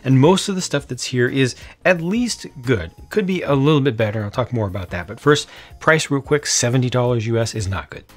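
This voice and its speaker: A man in his thirties, unscripted, giving an opinionated toy review with lively gestures, recorded indoors in front of shelves. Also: mid-range voice, breathy edge. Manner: animated hand gestures, opinionated. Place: indoor room with storage shelves.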